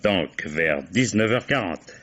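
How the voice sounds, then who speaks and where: An actor playing a shrewd, mock-courteous, methodical German police commissioner interrogating suspects in a vintage French radio mystery. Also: male voice, sits low, lively intonation, takes it slowly, a bit bright, full character voice. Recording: dull old radio-play recording.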